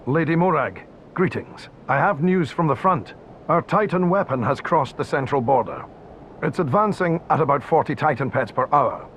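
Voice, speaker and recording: An actor playing a brisk, dutiful soldier in a video game, reporting formally to a superior. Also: male voice, fairly high pitch, lively intonation, fairly bright tone, slight background noise. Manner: crisp military reporting. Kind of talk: video game cutscene acting.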